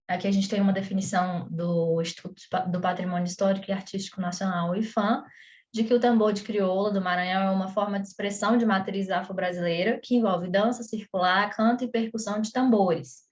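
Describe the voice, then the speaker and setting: A woman's voice: mid-range voice, steady tone, fast, lo-fi sound. A Brazilian woman with a Brazilian Portuguese accent, giving a conversational online lesson as a teacher, with frequent fillers and restarts.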